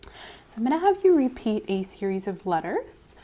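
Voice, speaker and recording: A woman doing a soft-spoken ASMR medical role-play as a clinician, gently reassuring and giving step-by-step instructions. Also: fairly high pitch, big pitch swings, normal speed. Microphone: clip-on mic.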